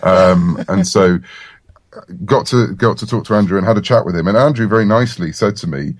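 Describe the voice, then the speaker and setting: A man with a deep voice talks casually as the guest in an interview. He is chatty and wryly self-deprecating. The sound is dry, with little room around it. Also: fairly quick, no echo. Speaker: interview guest, comics and audio writer.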